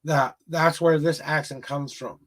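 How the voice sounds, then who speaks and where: Midwest minnesota guy doing tarot on stream, rambly, lotta restarts, voice on the higher side. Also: steady tone, a little slow, a bit bright. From rural Minnesota. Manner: rambling, repeats words and restarts often. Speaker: livestream tarot reader. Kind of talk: casual livestream chat with viewers.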